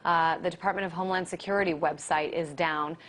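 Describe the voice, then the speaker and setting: A woman's voice: fairly low pitch, steady tone, brisk, fairly bright tone. American woman anchoring the news. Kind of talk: broadcast news delivery and live interviewing.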